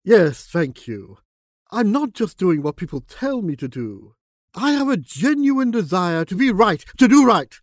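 A man plays a flustered politician with a lively, fairly high voice who blusters and stumbles through evasive, pompous answers. His voice sounds dry and close. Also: measured pace, dry recording. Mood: blustering.

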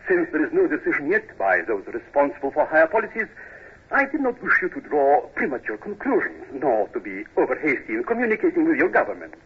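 An actor playing a suave, courtly Russian minister with a hesitant, unctuous, diplomatic manner in a 1950s radio drama. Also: male voice, fairly high pitch, huge pitch swings, quick pace, breathy edge, exaggerated voice. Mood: cautious, diplomatic. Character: suave, courtly Russian government minister, middle-aged or older. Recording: dull, lo-fi old radio recording.

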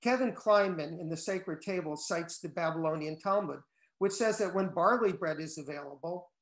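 An older man, a cookbook co-author, reading a prepared talk in measured tones over a video call from home. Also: fairly high pitch. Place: wood-paneled room at home. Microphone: laptop video call. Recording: echoey room on a video call.

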